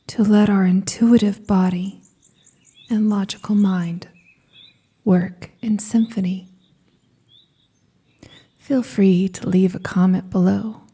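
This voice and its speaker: Very dark, whispery slow woman's voice. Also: medium pitch. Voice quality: soft, breathy, whispery. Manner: soothing, gentle, deliberate pauses. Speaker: hypnosis and meditation guide. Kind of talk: scripted guided meditation.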